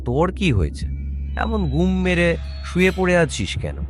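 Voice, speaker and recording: A man's voice: high voice, sing-song, measured pace, soft background music. A man voicing a concerned, chatty young village friend in a cartoon ghost story.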